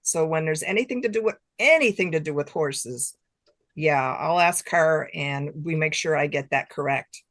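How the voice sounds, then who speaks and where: Female interview guest, middle-aged, slightly low register, very little room sound, informal and self-deprecating. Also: normal speed, no room sound, clean studio sound. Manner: self-deprecating, chuckles between remarks. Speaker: guest author. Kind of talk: casual interview chat.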